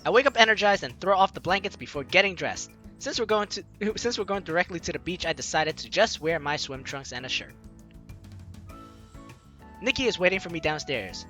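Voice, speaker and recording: Animated, bright-voiced young man reading a game. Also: fairly high pitch, a little fast, faint music behind. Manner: playful, theatrical, jokey reactions. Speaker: let's play host. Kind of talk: animated read-aloud game commentary.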